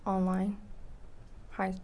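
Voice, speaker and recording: An eighteen-year-old woman from Iraq answers from a courtroom witness stand. She is soft-spoken, her replies brief and quiet, in a flat, mellow voice with a faint room hum behind. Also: mid-range voice, very slow. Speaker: trial witness.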